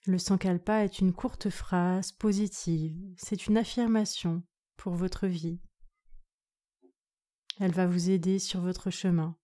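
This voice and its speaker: A woman guides a relaxation meditation with a mellow, slightly low voice. She speaks very slowly in short, measured phrases. Her manner is calm and soothing, as a yoga nidra instructor.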